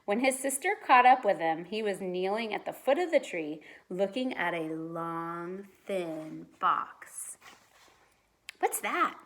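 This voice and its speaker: Expressive woman reading a children's story. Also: on the low side, unhurried, hardly any pauses. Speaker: teacher reading aloud.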